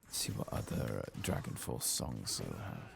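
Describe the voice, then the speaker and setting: A man giving soft-spoken, sometimes whispered ASMR game commentary, murmuring casually to himself close to the mic and swearing now and then. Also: very deep voice, sing-song, moderate pace, vocal fry. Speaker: ASMR gaming streamer.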